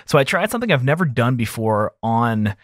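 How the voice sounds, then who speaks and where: A man talking informally and thinking aloud as an audio engineer in a software tutorial voice-over. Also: medium pitch, very animated, a little fast, dry recording, clean studio sound.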